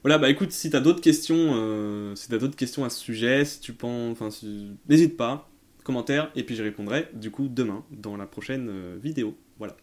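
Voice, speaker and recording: Casual man thinking out loud. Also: medium pitch, moderate pace. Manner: hesitant, thinking aloud, informal slang. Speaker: tech tutorial host answering viewer questions. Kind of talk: casual off-the-cuff explanatory commentary.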